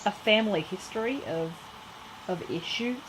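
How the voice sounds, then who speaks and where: A woman's voice: mid-range voice, moderate pace. A woman co-hosting a podcast, chatting casually with dry, joking remarks. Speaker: podcast co-host. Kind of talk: casual chat. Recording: noisy room ambience.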